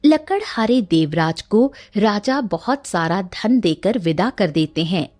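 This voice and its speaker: A woman's voice: medium pitch, lively intonation, takes it slowly, darkish tone. A woman narrating a scripted cartoon moral story as its storyteller.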